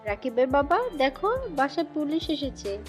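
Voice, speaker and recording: A woman's voice: high voice, expressive, normal speed, faint music behind. A woman playing a concerned elderly mother in a dramatized audio story, in muffled sound.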